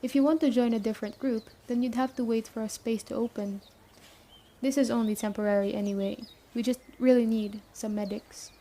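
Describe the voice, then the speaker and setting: A woman acts out a stern yet caring female leader of an apocalypse survivor camp. Her voice sits a little high but is very mellow and soft-edged. She talks to the listener directly in a brisk, matter-of-fact ASMR roleplay style. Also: fairly even intonation, lightly acted. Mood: businesslike, earnest.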